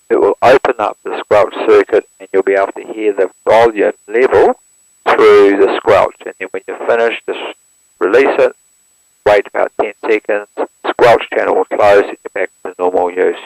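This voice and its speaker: A man, walking through how to operate an aircraft radio step by step in a plain, steady manner, recorded through an intercom headset. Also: lowish voice, normal speed, gravelly, no echo, rough recording. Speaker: instructor demonstrating an aircraft radio. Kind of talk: hands-on equipment walkthrough.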